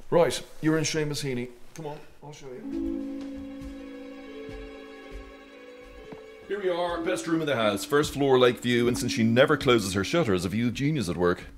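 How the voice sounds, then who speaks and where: A man's voice: mid-range voice, moderate pace, music in the background, lightly acted. A man of about fifty with an Irish accent acting a grumpy, sardonic retreat manager in a radio drama, dry and mock-grandiose. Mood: brisk. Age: middle-aged.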